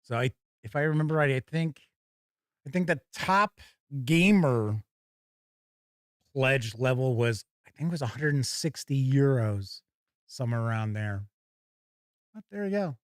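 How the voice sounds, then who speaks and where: Animated American man hosting gaming news. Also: mid-range voice, normal speed, long pauses, no room sound, studio quality. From United States. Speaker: host of a tabletop gaming news show. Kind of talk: live news commentary with casual chat asides.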